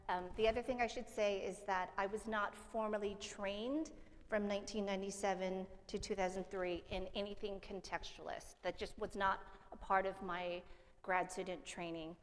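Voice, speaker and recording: Panelist, woman: chatty and self-deprecating, mid pitch, lots of fillers, hall echo. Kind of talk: casual panel remarks. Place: seminary hall with audience. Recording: hall reverb.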